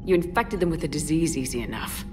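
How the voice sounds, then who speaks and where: A woman's voice: sits low, expressive, quick pace. An actress playing a stern female military commander who speaks tersely and bluntly. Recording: game audio with music bed.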